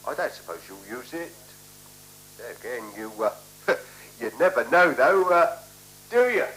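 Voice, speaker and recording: An actor playing a nervous, deferential night porter in a radio play, hesitant, stammering and over-polite. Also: male voice, mid-range voice, very animated, measured pace, a bit bright, poor audio. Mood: awkwardly obliging. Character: nervous, deferential male night porter showing guests a room. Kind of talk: 1970s radio play acting. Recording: hissy 1976 radio broadcast recording.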